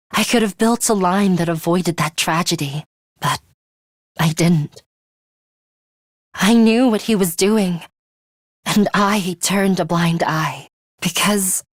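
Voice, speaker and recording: A woman voicing a cool, guarded young female fighter in a video game, with a measured, composed and imperious way of speaking. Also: lowish voice, no room sound, studio quality. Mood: somber, confessing. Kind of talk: English video game voice acting, dramatic anime-style dialogue.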